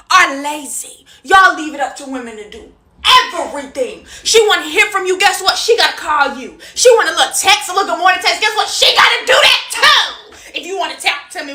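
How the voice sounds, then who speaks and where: A woman venting in an exasperated, emphatic rant for a social video. Her voice sits high and swings widely, with a very bright, cutting edge.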